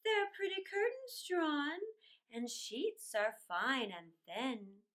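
A woman voicing a cunning male storybook spider, flattering and wheedling with drawn-out, coaxing words. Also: high voice, voice pushed up, heavily acted. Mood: coaxing, sly.

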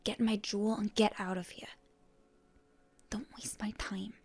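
Woman playing a breathy, whispering, defiant hero girl. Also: mid-range voice, flat delivery, a little slow. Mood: prickly, stubborn. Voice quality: breathy, hushed, mostly whispered. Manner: defiant, suspicious, tsundere. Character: proud, guarded, prickly wounded hero girl held by her enemy. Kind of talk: whispered ASMR roleplay.

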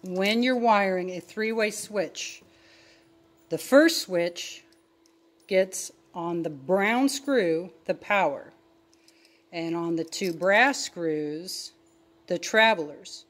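A woman's voice: on the low side, takes it slowly. A middle-aged woman giving a casual, plainspoken, step-by-step tutorial as a DIY video host. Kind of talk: casual hands-on tutorial narration.

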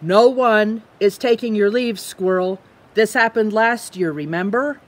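A woman voicing a patient, sensible bird who reasons in a measured, reassuring way in a children's storybook. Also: mid-range voice, lightly acted. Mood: patient, firm. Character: patient, sensible bird calming an anxious friend. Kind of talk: children's storybook read-aloud.

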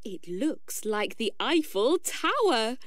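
A woman's voice: a little high, sing-song, slow, no echo, clean studio sound. A woman voicing a confident, clever child adventurer in a storybook, declaring things eagerly.

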